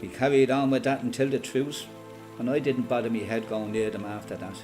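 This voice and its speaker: An elderly Irish former freedom fighter, played by a man, tells his memories in a radio play. He is plain-spoken and quietly proud, talking like a storyteller at ease. Music plays clearly behind him. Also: medium pitch, flat delivery, a little fast, natural voice. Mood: matter-of-fact recollection. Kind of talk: radio play monologue, reminiscing as recorded testimony.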